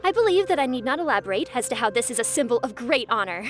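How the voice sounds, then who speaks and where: A woman's voice: a little high, very animated, fast talker, over music. A woman voicing an enthusiastic, formal championship announcer in a video game, polished and ceremonious. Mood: formal, proud. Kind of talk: video game character acting.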